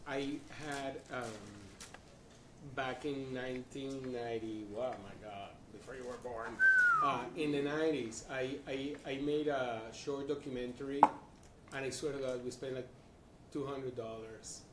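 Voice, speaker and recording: A man's voice: medium pitch, fairly even intonation, distant mic, heavy background noise. Man on a panel, heard from off mic. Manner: halting, restarts, loose and joking. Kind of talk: casual panel talk. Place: event space with audience. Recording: roomy sound from off mic.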